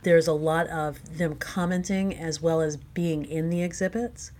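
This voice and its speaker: Woman, low register, interview guest, reflective, conversational delivery. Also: fairly even intonation, a little slow, a little mellow. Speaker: guest, playwright. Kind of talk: conversational interview about own creative work.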